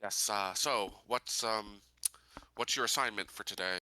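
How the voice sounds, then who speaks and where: Officious would-be sheriff, a man roleplaying: lowish, very sharp and forceful, self-important, halting. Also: no echo. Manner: halting, officious, full of repeated starts. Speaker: player in a tabletop game. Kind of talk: improvised in-character roleplay.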